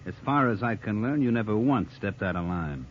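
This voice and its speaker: A muffled, noisy vintage radio recording carries an actor as a slangy American private detective. He is a brash, money-hungry man who talks fast and breezily, in a lowish voice. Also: lively intonation, natural voice. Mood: smooth-talking, familiar. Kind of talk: 1940s-50s radio crime drama acting.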